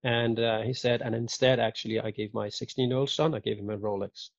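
A man chatting casually as a podcast guest, joking and telling stories in a lively way. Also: mid-range voice, brisk, creaky, dry recording. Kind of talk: casual chat. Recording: dull lo-fi audio.

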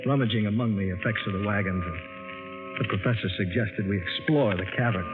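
An actor playing an earnest explorer who recounts an adventure in a 1950s radio drama. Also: male voice, on the low side, natural voice. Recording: old tinny radio recording, loud music.

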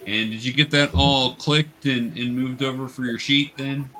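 A man's voice: medium pitch, fairly even intonation, moderate pace, bright, forceful tone. Man hosting a tabletop game, noisy background. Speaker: game master and host.

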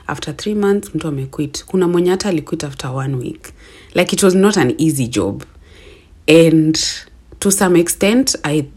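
A woman in her twenties with a Kenyan accent and a deep voice, hosting a casual solo podcast in a chatty, self-affirming way and laughing at herself. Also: darkish tone, husky. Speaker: podcast host.

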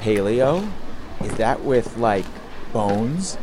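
A male actor playing an excitable, catty and easily frightened sidekick in a campy audio comedy drama. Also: lowish voice, drawn-out words, background noise. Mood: flirty. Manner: theatrical, gushing, squeamish.

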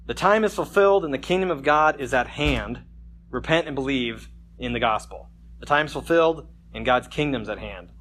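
A man's voice: mid-range voice, fairly bright tone, a little hiss or hum. A man preaching a conversational sermon at a church service, joking casually and reading scripture with emphasis. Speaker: pastor.

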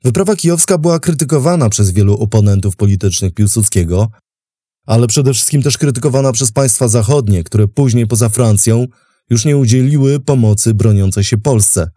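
A man hosting and narrating a history programme from a script, in a measured, articulate, formal manner. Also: medium pitch, expressive, warm tone, no room sound, clean studio sound. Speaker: podcast host and narrator.

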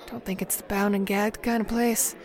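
An actress playing a weary, loyal traveling companion in a radio drama, with a dry, casual, understated delivery. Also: female voice, medium pitch, flat delivery, moderate pace, close to an everyday voice. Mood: wry, tired.

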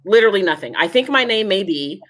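A woman's voice: medium pitch, animated, fast. A woman guest from a genealogy foundation, speaking earnestly in an interview and repeating her key points for emphasis. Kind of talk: conversational interview.

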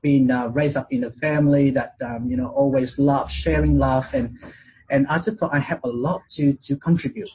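A man's voice: mid-range voice, fairly even intonation, normal speed, lo-fi sound, slight background noise. A man in his forties from Vietnam with a Vietnamese accent, talking as an interview guest in a casual, hesitant way with many fillers and restarts. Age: 40s.

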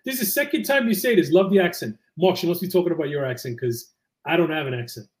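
A man co-hosts a casual live chat show. His voice is a little higher than average for a man. He speaks colloquially, drops in short interjections and relays comments from viewers. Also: animated, quick pace. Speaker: talk show co-host reading chat comments.

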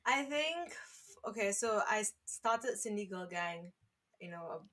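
A woman's voice: mid-range voice, lively intonation, measured pace, fairly bright tone. A woman from Malaysia, a podcast guest, talking earnestly and rambling with "you know" and restarts. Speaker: podcast guest, founder of an online community page. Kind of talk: casual conversational interview.

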